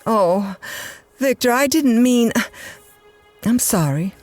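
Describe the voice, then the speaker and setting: An actress playing a restless, coy, money-hungry wife in an audio drama. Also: female voice, a little high, lively intonation, slow, a little mellow, no room sound, soft background music. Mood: eager, contrite.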